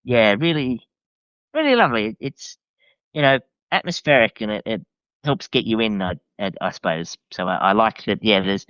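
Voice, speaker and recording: A man with a low, smooth voice talks casually as a podcast guest. His delivery is halting and full of restarts. The recording is dry and a little dull. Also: animated, a bit bright, no room sound. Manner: hesitant, halting, often restarting phrases. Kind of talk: casual conversational review.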